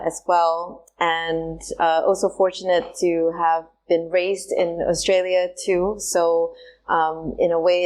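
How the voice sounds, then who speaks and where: A woman from Singapore, a trauma specialist, answering interview questions in a thoughtful, halting way with many pauses and fillers. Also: fairly low pitch, a little mellow, rough recording. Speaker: guest, somatic trauma specialist.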